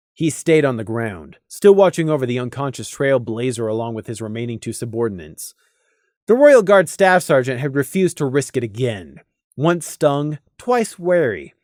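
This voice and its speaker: A man reading a scripted story as a narrator, deliberate and expressive, with dramatic emphasis. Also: mid-range voice, no room sound, clean studio sound. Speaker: audiobook narrator.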